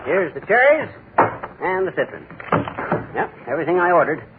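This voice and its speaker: A brash, bumbling husband in old radio. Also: male voice, fairly high pitch, big pitch swings, moderate pace. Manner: brash, wisecracking. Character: boastful bumbling middle-aged husband. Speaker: comic lead of a radio sitcom. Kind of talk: 1940s radio comedy acting. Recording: noisy 1940s radio broadcast, lo-fi.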